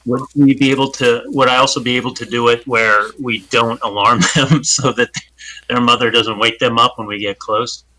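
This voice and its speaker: A man's voice: mid-range voice, steady tone, normal speed, bright, forceful tone, rough recording. A man playing a tabletop role-playing game who talks in a practical way and asks a lot of questions. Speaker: player. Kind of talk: tabletop game planning talk.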